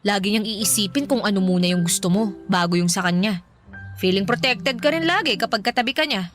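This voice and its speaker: A woman's voice: mid-range voice, a little fast, soft background music, close to an everyday voice. A woman playing a playful, pushy young matchmaker in a radio drama, teasing and giggly. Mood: persuasive, upbeat. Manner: teasing, giggly, animated, persuasive. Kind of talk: Filipino radio drama dialogue.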